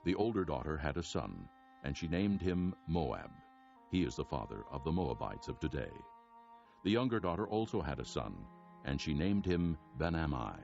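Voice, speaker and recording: Super deep bible narrator guy, solemn but animated delivery, music behind. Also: normal speed, gravelly, highs cut off. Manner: solemn storytelling. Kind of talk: scripted dramatic Bible narration.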